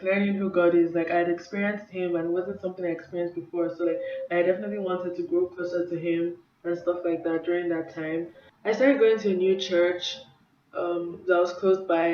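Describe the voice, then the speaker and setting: A woman's voice: on the low side, a little hiss or hum. Young Nigerian woman, roomy distant mic. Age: young adult. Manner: casual, earnest, frequent "like" and "um". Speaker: vlogger. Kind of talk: personal testimony vlog. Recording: roomy, distant, slightly dull sound.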